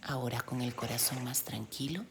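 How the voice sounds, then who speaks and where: A woman leading a guided meditation in a gentle, soothing delivery with pauses. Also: very deep voice, background noise. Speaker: meditation guide, yoga teacher. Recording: steady loud sea-wave background sound. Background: sound of ocean waves.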